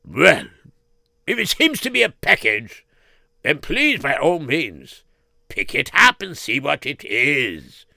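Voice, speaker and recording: A man's voice: fairly high pitch, sing-song, takes it slowly, vocal fry, bright, forceful tone, no echo, clean studio sound, exaggerated voice. A man doing a pompous, rambling king. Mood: musing. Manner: stately, hesitant, rambling. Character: pompous middle-aged male king.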